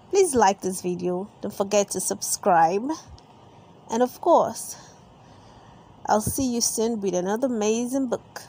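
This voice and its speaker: High-voiced woman reading slowly to children. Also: warm tone. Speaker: storybook reader and channel host. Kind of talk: expressive read-aloud narration for young children.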